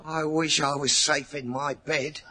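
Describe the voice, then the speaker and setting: An actor playing a timid, superstitious stable hand with nervous rustic speech, in a noisy 1940s radio drama. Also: male voice, on the high side, little pitch movement, unhurried, bright, forceful tone. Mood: fearful.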